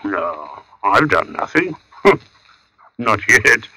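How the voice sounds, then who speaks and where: A very sharp, forceful male voice, playing an odd, brooding husband in a radio play. His pitch moves in animated swings, with an ominous edge. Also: extremely slow, full character voice, rough recording. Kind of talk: old radio drama acting.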